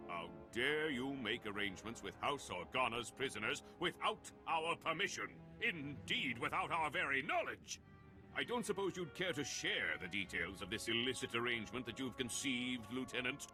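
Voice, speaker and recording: A man's voice: mid-range voice, expressive, moderate pace, sharp tone, faint music behind. An actor playing a pompous, indignant nobleman in a video game, speaking formally. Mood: indignant, sarcastic. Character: haughty, indignant nobleman of a ruling house.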